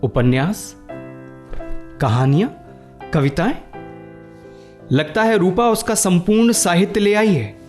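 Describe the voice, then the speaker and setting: A man's voice: high voice, takes it slowly, long pauses, a little mellow, faint music behind. An actor playing a vain, teasing, lovesick young man in a radio play, flamboyant and self-satisfied, dropping in English phrases. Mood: playful. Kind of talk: Hindi radio play acting.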